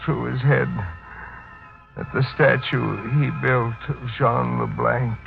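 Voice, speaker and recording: An actor playing a despairing, fearful criminal on the run in halting, dramatic 1940s radio mystery style. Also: male voice, medium pitch, fairly even intonation, gravelly. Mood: bleak, fading. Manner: halting, dramatic first-person delivery. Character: Jean LeBlanc, despairing fearful criminal on the run, narrating his own end. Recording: muffled old 1940s radio recording, organ music.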